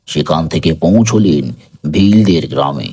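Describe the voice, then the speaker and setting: A man reading a literary story in a measured, dramatic narrating style. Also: extremely low pitch, expressive, mellow, slightly rough.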